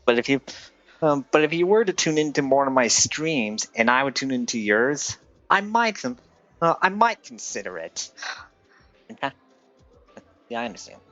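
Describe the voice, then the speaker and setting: A man's voice: medium pitch, lively intonation, fairly bright tone, dry recording. Dull-sounding hesitant man streaming over game music. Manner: hesitant, halting delivery. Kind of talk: casual livestream chat and game advice.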